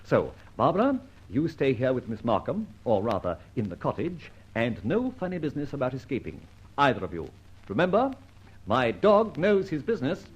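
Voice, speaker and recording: An actor playing a jaunty, cheeky gentleman rogue with theatrical delivery in an old-time radio serial. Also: mid-range voice, sing-song, moderate pace, breathy edge, low-quality recording. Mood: jaunty, teasing. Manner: theatrical, breezy, muttering asides to himself.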